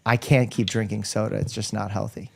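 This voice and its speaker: A man's voice: lowish voice, level tone, fairly quick, creaky, husky. A man born in Russia, whose first language is Russian, hosting an interview podcast as a family doctor, upbeat and explaining medical points plainly. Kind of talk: casual interview chat.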